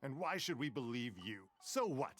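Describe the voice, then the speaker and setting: A man's voice: mid-range voice, sing-song, moderate pace, a bit bright, soft background music. An actor voicing a scornful, accusing male guard who scoffs dismissively.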